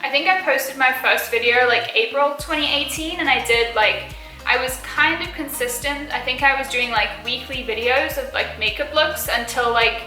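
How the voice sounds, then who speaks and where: A woman's voice: sits high, normal speed, bright, forceful tone, roomy sound, faint music behind, poor audio. A young woman in her early twenties from South Africa with a mild Australian accent, chatting casually as she answers viewer questions, full of fillers and little giggles. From South Africa, raised partly in Australia. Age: early 20s. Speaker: beauty and lifestyle YouTuber.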